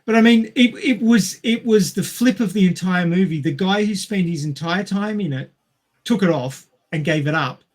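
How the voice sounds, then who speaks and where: Husky, higher-voiced man talking film. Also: moderate pace. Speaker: podcast co-host. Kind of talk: casual film discussion.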